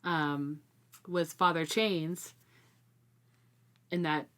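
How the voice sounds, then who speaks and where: Woman with a lowish voice, casual book club talk, retells stuff in an animated way. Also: extremely slow, clear, resonant tone. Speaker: co-host of a book discussion show. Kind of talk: casual chat, book discussion.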